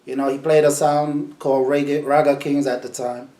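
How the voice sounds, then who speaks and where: Relaxed New man, echoey room. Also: medium pitch, normal speed. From New York. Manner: relaxed, storytelling, repeats words. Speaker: sound system selector. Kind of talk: casual interview answers.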